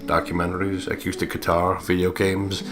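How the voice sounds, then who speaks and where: A man's voice: sits low, level tone, normal speed. A man co-hosting a let's play, switching between casual, sweary jokes and reading game text aloud. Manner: jokey, sweary banter. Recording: music behind the voice. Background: guitar game music.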